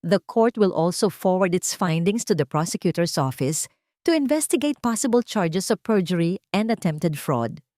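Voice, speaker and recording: A woman's voice: fairly low pitch, lively intonation, normal speed, darkish tone, no echo, clean studio sound, close to an everyday voice. A woman voicing a stern, impartial judge who formally pronounces rulings in an audio story.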